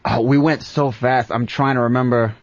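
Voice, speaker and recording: A man's voice: medium pitch, fairly even intonation, moderate pace, no room sound. A man chatting casually as a panelist on a radio talk show, hesitant and searching for his words. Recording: dull lo-fi radio recording.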